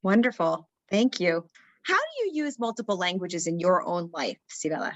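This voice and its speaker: A woman's voice: on the low side, very animated, normal speed, a bit bright, dry recording, highs cut off. A woman interviewer asking questions and affirming the guest's answers politely.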